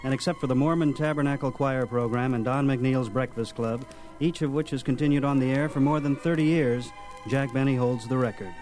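Man narrating radio history, medium pitch. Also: steady tone, a little fast, a little mellow, soft background music, rough recording. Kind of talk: scripted documentary narration.

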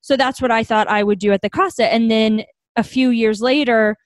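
A woman's voice: fairly high pitch, fairly even intonation, moderate pace, no echo. A young adult woman, a guest, telling stories in a casual interview, laughing often as she talks. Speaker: founder of a hospitality home, guest.

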